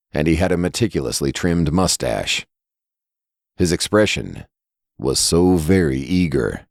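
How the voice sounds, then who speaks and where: A man's voice: extremely low pitch, darkish tone, no echo, clean studio sound. A man reading a scripted horror story as its narrator.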